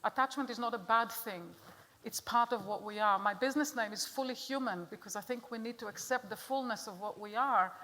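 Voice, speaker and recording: A woman's voice: fairly high pitch, fairly quick, sharp tone. A middle-aged woman from Israel with an Israeli accent gives an earnest, direct talk to an audience and puts questions to them. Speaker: speaker giving a talk. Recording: long hall reverb.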